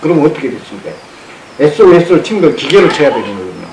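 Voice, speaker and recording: Earnest man lecturing with animated pitch. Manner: earnest, halting, repeats phrases. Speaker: speaker at a public lecture. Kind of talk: informal spoken lecture. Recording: roomy, echoey with background noise.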